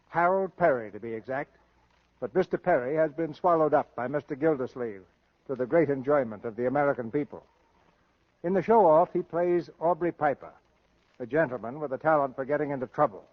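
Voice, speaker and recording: A man presents a vintage radio theater program with formal, measured showmanship. His voice is a little high and closely dry. The recording is muffled, like an old broadcast. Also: animated, no room sound. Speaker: radio theater host and narrator. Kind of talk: scripted 1930s-40s radio presentation.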